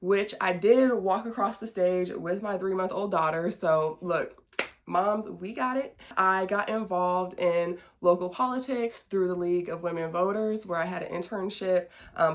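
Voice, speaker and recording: A woman in her late twenties with an American accent, hosting a podcast and chatting casually to the audience in a folksy, self-deprecating way. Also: mid-range voice. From small-town Southern Illinois, United States. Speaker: podcast host. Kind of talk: casual chat to camera, introducing a show.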